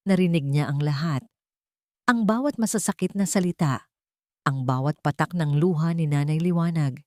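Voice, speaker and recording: Low-voiced woman narrating drama, dry sound. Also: a little mellow, dry recording. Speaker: storyteller, narrator. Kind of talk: scripted dramatic story narration.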